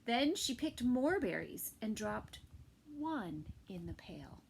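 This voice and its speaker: A woman teacher reading a picture book aloud to small children, gentle and unhurried, with soft whispered asides. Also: on the high side, very animated, creaky, slight background noise, rough recording. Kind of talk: children's story time read-aloud.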